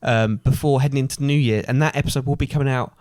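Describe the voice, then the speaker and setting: A man's voice: medium pitch, fairly even intonation, racing, darkish tone, no room sound. Man chatting, close dry sound. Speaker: podcast co-host. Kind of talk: casual chat.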